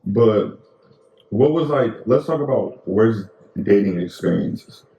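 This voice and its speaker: A man's voice: on the low side, expressive, slow pace, vocal fry, echoey room, dull audio. A young man co-hosting a podcast in casual, rambling chat full of slang and fillers. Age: young adult. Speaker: podcast co-host.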